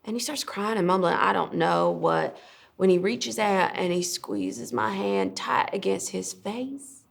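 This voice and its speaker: A young woman playing a weary, protective abused daughter, recounting trauma in a halting, deliberate dramatic monologue. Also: on the low side, sing-song, vocal fry, heavily acted. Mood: halting, pained. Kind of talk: dramatic stage-style monologue.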